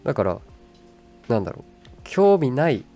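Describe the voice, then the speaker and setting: Young woman, extremely low boyish voice, animated intonation, calm wry radio co-host, casual chat. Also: normal speed, faint music behind.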